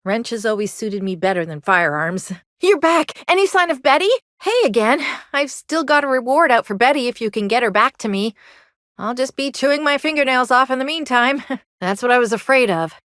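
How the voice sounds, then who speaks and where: Game role: anxious colony mechanic woman, high voice, folksy Southern US drawl, apologetic, dry close recording. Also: expressive, moderate pace, a bit bright, no room sound. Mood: worried, polite, then delighted, relieved, then earnest, concerned. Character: anxious, earnest young colony mechanic woman fond of her robot. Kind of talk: video game dialogue.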